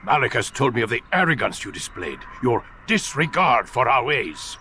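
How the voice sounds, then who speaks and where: An actor playing a stern older master of assassins in a video game, commanding and scolding in a measured way. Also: male voice, medium pitch, sing-song, pushed and bright, a little hiss or hum. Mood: stern, lecturing. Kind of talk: dramatic video game acting.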